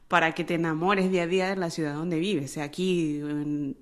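A woman's voice: deep voice, fairly even intonation, normal speed. Chatty Venezuelan woman co-hosting a podcast. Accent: Venezuelan Spanish. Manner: informal, slangy, chatty. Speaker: podcast co-host. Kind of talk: casual, gossipy podcast chat.

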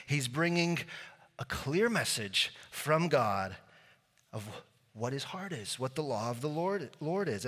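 A man's voice: fairly high pitch, fairly bright tone. A male pastor preaching a sermon, telling a story in a casual way with many fillers and restarts. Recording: long hall reverb.

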